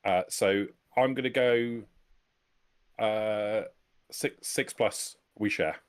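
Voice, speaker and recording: A man's voice: lowish voice, takes it slowly, clear, resonant tone. A man playing a tabletop game, chatting casually and thinking aloud with some hesitation.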